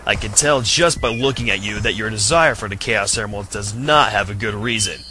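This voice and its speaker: A man's voice: on the low side, brisk, pushed and bright. A man playing a tough, righteous guardian hero in an amateur radio play, stern and defiant. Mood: stern defiance. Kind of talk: amateur audio drama acting. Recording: voice over music.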